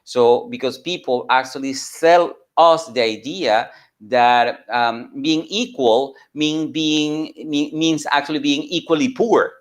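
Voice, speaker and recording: A man from Venezuela, a native Spanish speaker with a Venezuelan accent, telling his story informally as an entrepreneur and mentor, in an earnest, halting way that repeats phrases. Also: fairly high pitch. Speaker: entrepreneur and mentor giving a talk.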